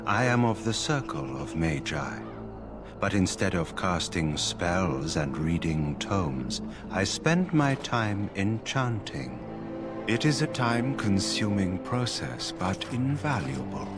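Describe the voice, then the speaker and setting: A man playing an emotionless mage in a fantasy game, speaking in a formal, detached, measured manner. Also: low-pitched, very animated, heavily acted. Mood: detached calm. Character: emotionless branded male mage, calm enchanter stripped of feeling. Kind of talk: video game dialogue. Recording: game music loud behind the voice.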